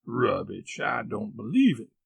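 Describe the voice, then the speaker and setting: A man voicing a flustered, scolding old aunt, brisk but soft-hearted, in an audiobook reading. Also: on the low side, big pitch swings, vocal fry, husky, dry recording, exaggerated voice. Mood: startled, dismissive.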